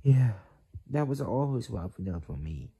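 An amateur performer acting out character lines in a halting, drawn-out way, with a breathy, husky voice that often drops toward a whisper. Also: mid-range voice, big pitch swings, mellow. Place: small bedroom at home.

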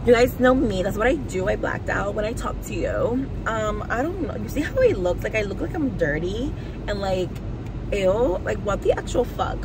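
A woman's voice: medium pitch, lively intonation, normal speed. A young woman vlogging from behind the wheel in a rambling, offhand way, with "like" everywhere and the odd swear word. She is recorded on a phone inside a moving car, and heavy road noise sits under her voice. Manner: rambling, heavy "like" fillers, blunt and profane. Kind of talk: casual vlog chat while driving. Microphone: phone camera.

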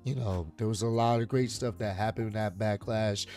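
A man in his thirties with a hoarse voice, hosting a casual reaction video from a home streaming room on a studio mic, chatting easily and breaking into loud laughs and shouts. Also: on the low side, fairly even intonation, normal speed, faint music behind. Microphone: studio mic on arm, headphones.

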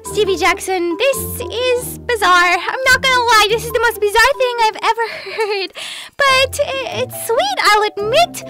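A jumpy little baby girl angel, as a woman voices her, chatters in an extremely high, squeaky voice in a homemade audio story. Loud background music plays throughout. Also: unhurried, few pauses. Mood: confused. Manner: high squeaky voice, jittery, shouts when frightened. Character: excitable baby girl angel, easily scared.

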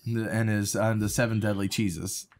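A man's voice: on the low side, steady tone, normal speed, a little mellow. A man co-hosting a casual podcast chat at a table mic, joking and laughing often. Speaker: podcast co-host. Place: living room studio. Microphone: desk mic on stand.

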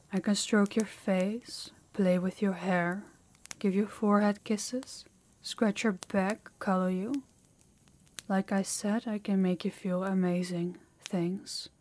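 Woman playing a slow, commanding female demon. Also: mid-range voice, a little mellow, lightly acted. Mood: teasing, softer. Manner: commanding, slow, deliberate, menacing, often dropping to a whisper. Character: harsh, dominant female demon training a human captive. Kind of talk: intimate audio roleplay addressed to the listener.

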